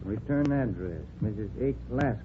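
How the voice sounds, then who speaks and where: A man's voice: lively intonation, moderate pace, background noise, lightly acted. A man playing a polite, matter-of-fact American police detective in an old-time radio drama. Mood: inquiring. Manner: low-key, courteous, matter-of-fact. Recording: dull vintage radio recording, music behind.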